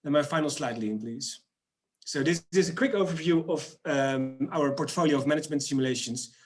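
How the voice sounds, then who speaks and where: A middle-aged man with a Dutch accent walks through slides in an upbeat, chatty way. His voice sits a little higher than most men's, and he drops plenty of fillers and restarts. He is heard over a video call from a small room. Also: brisk. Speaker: company presenter. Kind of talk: webinar product presentation.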